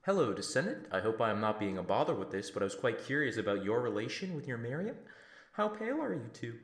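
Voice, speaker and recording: A man's voice: mid-range voice, very animated, fast talker, echoey room. A man voicing an awkward, fawning cosplayer fan in a comic dub, hesitant and overly polite. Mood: nervously prying.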